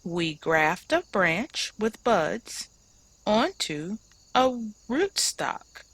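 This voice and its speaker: A woman's voice: lowish voice, big pitch swings, very slow, fairly bright tone, no room sound, full character voice, low-quality recording. A woman reading aloud a kindly male farmer in a children's storybook, explaining things patiently and encouragingly.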